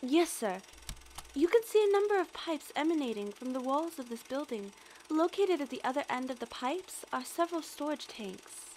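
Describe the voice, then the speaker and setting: A woman's voice: high-pitched, very animated, moderate pace, a little mellow, a little hiss or hum. A woman playing an earnest, eager young military analyst in an audio drama, precise and deferential. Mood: earnest briefing. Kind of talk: scripted audio drama acting.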